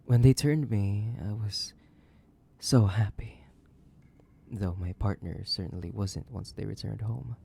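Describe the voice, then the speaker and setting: Man voicing a slow, gravelly, sadistic vampire. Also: lowish voice, dark tone, slight background noise. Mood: seductive reminiscing. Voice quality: gravelly, breathy, often dropping to a whisper. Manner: slow, theatrical, savoring, condescending. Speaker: audio roleplay voice actor. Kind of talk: horror audio roleplay monologue.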